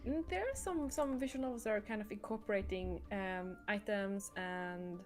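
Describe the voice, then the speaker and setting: A woman with a mid-pitched voice chats casually on a game livestream as its developer. Soft music plays faintly behind her. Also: normal speed.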